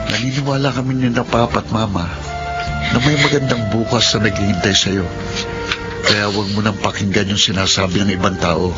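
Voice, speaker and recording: A man playing a protective, supportive older brother, speaking with gentle reassurance in a radio drama. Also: lowish voice, highs cut off, close to an everyday voice. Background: music.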